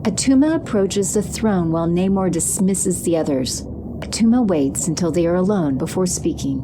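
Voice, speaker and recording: Woman, scripted narrator, slightly low voice, audio drama, noticeable background noise. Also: lively intonation, moderate pace. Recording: clear ambient noise behind.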